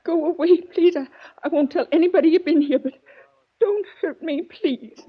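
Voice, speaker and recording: A woman's voice: extremely high pitch, moderate pace. An actress playing a frightened, stammering woman pleading in a 1940s radio mystery. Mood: terrified. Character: terrified woman pleading with an intruder. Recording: dull vintage 1940s radio recording.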